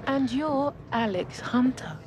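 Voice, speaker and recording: A woman's voice: high voice, animated, slow, poor audio. A woman playing an encouraging, affectionate mother in a video game cutscene. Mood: reassuring.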